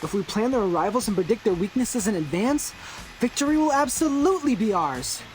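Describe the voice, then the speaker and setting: Eccentric teasing horror nerd, grand game-villain flair, voice swings all over, bit of music behind. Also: male voice, high voice, lively intonation, a little fast, rough recording. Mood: smug, playful. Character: eccentric, teasing, theatrical young male horror buff. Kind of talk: English video game character acting.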